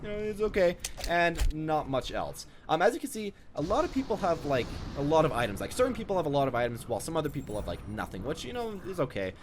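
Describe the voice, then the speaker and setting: A man's voice: fairly high pitch, sing-song, fast, hardly any pauses, a little hiss or hum. A young man giving casual, rambling off-the-cuff commentary as a gaming video host.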